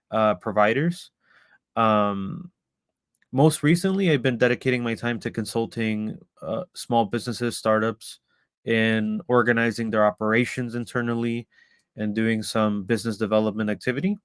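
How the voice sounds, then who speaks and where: A man's voice: on the low side, fairly bright tone, smooth, no room sound, clean studio sound. A man from El Salvador giving a live online presentation about a community he founded, hesitant with many ums and restarts.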